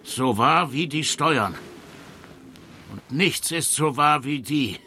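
Slow, miserly bedridden old man. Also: on the high side, animated, pushed and bright, heavily acted. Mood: weary, solemn. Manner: laboured, halting speech in short phrases. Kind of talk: radio drama acting. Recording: noticeable background noise.

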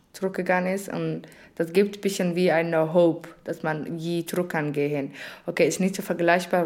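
A woman's voice: on the low side, darkish tone. A non-native woman talks off the cuff about her own impressions, with loose grammar. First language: not German. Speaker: interviewee. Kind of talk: spontaneous personal reflection.

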